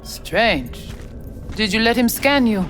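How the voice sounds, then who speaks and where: An actress playing a cool, commanding female hacker gang leader with a Haitian Creole accent and formal, measured speech in a video game. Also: fairly high pitch, lively intonation, fairly bright tone. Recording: music behind the voice.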